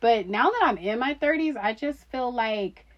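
A woman in her thirties with a fairly high voice that swings up and down in lively fashion. She talks bluntly and in slang, like a friend chatting on a casual podcast. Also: normal speed. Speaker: podcast co-host. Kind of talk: casual chat between friends. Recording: dull, lo-fi sound.

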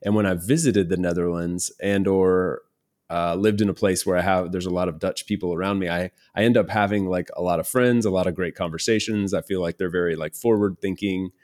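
A man's voice: low-pitched, steady tone, fairly quick, a little mellow. A married man chatting casually, hesitating and restarting his sentences. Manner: hesitant, restarts, many fillers. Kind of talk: casual conversational chat.

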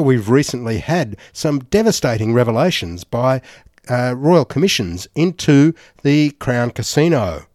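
A man's voice: mid-range voice, a little mellow, no room sound, studio quality. A man hosting a community radio show, who asks questions in a hesitant way and often stumbles over his words. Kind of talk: radio interview.

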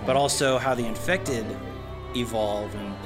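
A man's voice: medium pitch, measured pace, over music. A man talking eagerly and thoughtfully as a fan in a casual interview. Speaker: fan of an audio drama.

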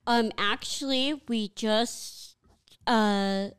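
Slow, clear-voiced middle-aged woman. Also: a little high, dry recording, studio quality. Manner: slow, halting, heartfelt. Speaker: guest, donor mother. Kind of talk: casual interview talk.

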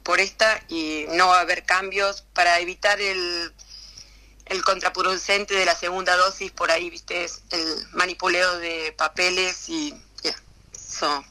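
Hesitant Argentine woman with a bright voice. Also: lowish voice, flat delivery, unhurried, poor audio. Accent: Argentine Spanish. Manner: hesitant, many fillers and restarts. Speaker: interview guest. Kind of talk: casual conversational interview.

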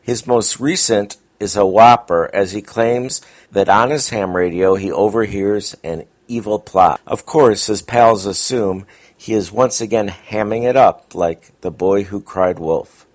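A man's voice: lowish voice, unhurried. A man hosting and introducing old radio episodes in scripted narration, on a dull recording. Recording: dull, lo-fi sound.

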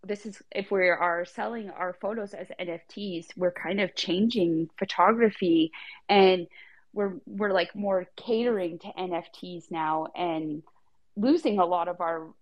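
A woman's voice: fairly low pitch, poor audio. A woman photographer talking casually in a live audio chat, chatty and peppered with "like". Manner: chatty, frequent "like". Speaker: photographer guest.

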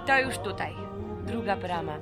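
A woman's voice: medium pitch, lively intonation, normal speed, music in the background, close to an everyday voice. An actress in an audio drama playing a vulnerable, hopeful woman fresh out of prison, speaking earnestly and hesitantly. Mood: nervous, hopeful.

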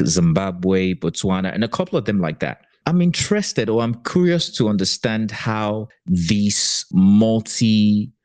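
A man hosting a podcast interview, deliberate and curious in a conversational style. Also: sits low, a little mellow.

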